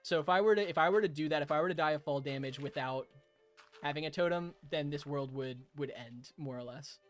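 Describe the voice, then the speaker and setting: A hoarse young man live-streaming a game, chatting casually with viewers, chuckling and thanking them. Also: a little high, fairly quick, faint music behind. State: hoarse, raspy throat.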